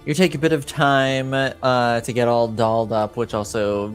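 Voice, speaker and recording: A man improvising narration as a game master, hesitant and pausing, with a deadpan manner. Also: medium pitch, soft background music.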